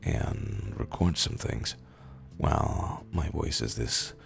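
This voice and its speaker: A man with a hoarse, raspy, rumbly voice, reading stories aloud in an unhurried, drawn-out way with pauses. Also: very animated, faint music behind. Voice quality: raspy, rumbly, gravelly fry. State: hoarse from days of screaming. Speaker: narrator reading internet posts. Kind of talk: slow, low dramatic reading of short stories.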